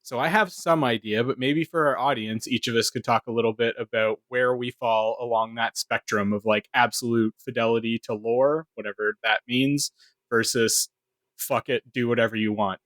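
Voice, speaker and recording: A man's voice: medium pitch, steady tone, normal speed, a bit bright, dry recording. A man co-hosting a podcast, talking informally but with academic wording. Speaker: podcast co-host and producer. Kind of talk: casual podcast discussion.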